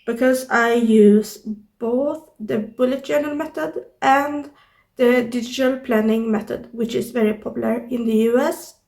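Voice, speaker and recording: Slow, creaky Swedish-accented young woman. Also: on the high side, fairly even intonation, darkish tone. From Sweden. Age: young adult. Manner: hesitant, many fillers and restarts. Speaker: YouTuber. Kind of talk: casual vlog talk to camera. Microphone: camera or device mic, no external mic.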